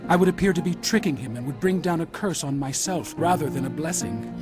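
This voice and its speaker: An actor playing an anxious, earnest younger son and reluctant deceiver in a Bible audio drama. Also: male voice, a little high, animated, fairly quick, lightly acted. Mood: worried. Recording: loud music behind the voice.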